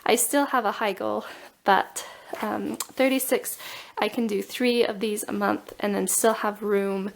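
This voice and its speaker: Chatty woman with a cold, slightly gravelly. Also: medium pitch, fairly even intonation, unhurried, poor audio. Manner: chatty, self-describedly nerdy, thinks aloud with fillers. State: has a cold. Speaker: booktuber. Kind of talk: casual walkthrough vlog. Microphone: overhead camera on table, hands only.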